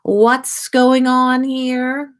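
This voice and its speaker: A woman reads the part of a withering young father in a story. He is suspicious and exasperated, making each remark pointed and deliberate. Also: high voice, flat delivery, voice pushed up.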